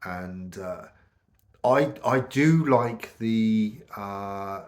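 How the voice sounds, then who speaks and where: Croaky brit guy with a cold, kinda low voice, rambly vlog chat full of ums. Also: extremely slow. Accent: British English. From United Kingdom. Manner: chatty and rambling, lots of ums and restarts. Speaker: vlogger. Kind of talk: casual chat to camera.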